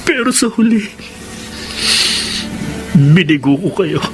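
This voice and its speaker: A man plays a young adopted son in an audio drama, high-voiced and full of anguish. He pleads in broken phrases, sunk in remorse and self-loathing, with music playing clearly behind him. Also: expressive, slow pace. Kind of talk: dramatic Tagalog audio story acting.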